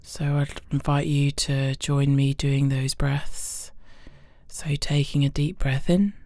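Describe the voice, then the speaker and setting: A woman leading a guided body scan meditation in a soothing, gentle and unhurried manner, leaving pauses between phrases. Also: a bass voice, flat delivery, dry recording. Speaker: guided relaxation instructor.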